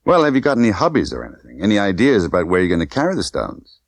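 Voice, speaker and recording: A man's voice: lowish voice, huge pitch swings, fast, dry recording. A man voicing a tough, condescending young woman smuggler who talks in clipped, bossy slang. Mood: dry, businesslike.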